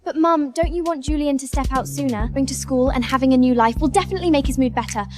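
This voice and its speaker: A woman voicing a bubbly, pushy young woman who teases and dotes on a sulky young man in a dubbed animated drama. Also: high voice, quick pace, soft background music, natural voice. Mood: pleading. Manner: sing-song, teasing and exuberant.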